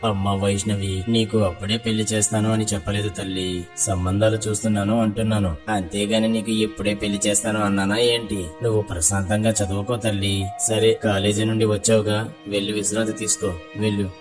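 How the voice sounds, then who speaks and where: A man plays a doting middle-aged cartoon father, talking quickly with little rise and fall. He sounds a little distant from the mic. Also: lowish voice, little pitch movement, natural voice. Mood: reassuring. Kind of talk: cartoon story dialogue. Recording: distant voice with music behind. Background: music.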